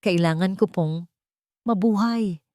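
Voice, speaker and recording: A poor young woman of quiet dignity, played by a woman narrator in an audio drama. She is weary yet resolute, speaking politely and earnestly in a dry recording. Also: mid-range voice, slow pace, no room sound, lightly acted. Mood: trembling, resolute.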